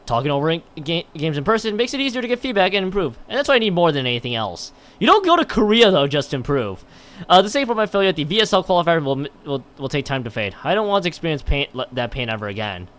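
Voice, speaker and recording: A man's voice: on the high side, big pitch swings, fast talker, a bit bright. A man commentating on a game stream and reading game text aloud in a rambling way, often stumbling and correcting himself. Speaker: live streamer.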